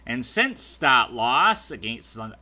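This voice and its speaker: Chatty male fan host, dry throat, rambling delivery, very bright tone with lively pitch. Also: takes it slowly. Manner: chatty, rambling with many ums and restarts. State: dry throat, clearing it often. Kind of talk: casual hockey recap vlog.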